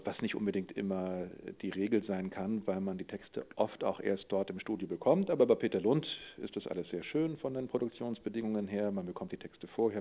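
A man talking casually in an interview as a voice actor, explaining things thoughtfully and in detail. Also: on the low side. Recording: tinny, phone-like narrow line.

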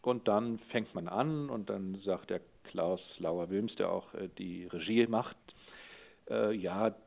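A man's voice: low-pitched, slow, creaky. A man talking casually in an interview as a voice actor, explaining things thoughtfully and in detail. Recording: tinny, phone-like narrow line.

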